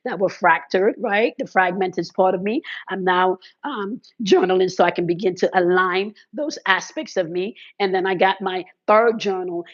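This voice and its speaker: A hoarse woman speaks casually to her followers about spiritual practice, her voice crackling from congested sinuses. She keeps checking in with quick "okay"s and "right"s. Her voice sits at an ordinary pitch, and the recording sounds a little dull and lo-fi. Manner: repeats "okay" and "right" as check-ins, uses fillers. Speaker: spiritual content creator. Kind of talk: casual spiritual talk to viewers.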